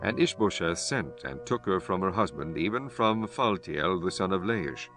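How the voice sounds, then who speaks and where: Low-voiced man narrating scripture. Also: animated, normal speed. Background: instrumental music.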